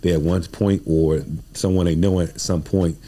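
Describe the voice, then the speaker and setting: A man in his forties with a very deep voice and a dark, mellow tone. He tells stories as a podcast guest in a casual, informal way. His delivery is earnest and street-inflected. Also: steady tone, normal speed. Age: 40s. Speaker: playwright and producer, podcast guest. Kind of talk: casual interview storytelling.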